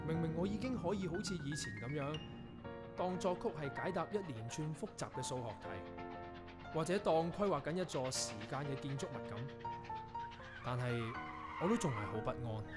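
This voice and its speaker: Guy acting as young composer in a hong kong cantonese audio drama, earnest n introspective, slightly high voice, music under it. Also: natural voice. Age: young adult. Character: young male avant-garde composer, reflective and restlessly inspired.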